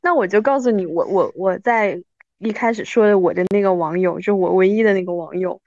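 A woman's voice: medium pitch, a little fast, darkish tone, no echo, lo-fi sound. A woman in her thirties chatting casually as a podcast host, self-deprecating and often repeating her words for emphasis. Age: 30s. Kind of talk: casual chat between old friends.

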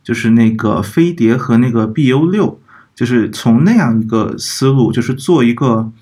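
A man with a slightly husky voice and a middling pitch talks as a podcast co-host. He explains things in a measured way, qualifying his points as he goes in casual discussion. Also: expressive, dark tone. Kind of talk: casual discussion of audio gear.

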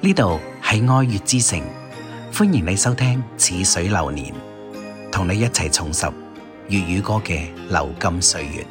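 A man's voice: sits low, animated, normal speed. A man with a Cantonese accent reads a scripted show ident as an announcer. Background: background music.

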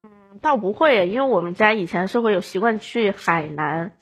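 A woman's voice: mid-range voice, animated, normal speed, breathy edge. A woman from Chongqing in China chatting casually as a podcast guest. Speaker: podcast guest, audio drama producer. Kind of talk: casual chat.

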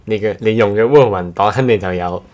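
Low-voiced man chatting on a podcast. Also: brisk, darkish tone. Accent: Cantonese. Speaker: podcast co-host. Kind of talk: casual anecdotal chat.

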